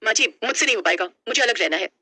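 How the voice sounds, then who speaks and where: A woman's voice: a little high, expressive, fast, sharp tone. A woman voicing a young, emotional newlywed daughter-in-law in a family story. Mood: defiant. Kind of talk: animated storybook acting.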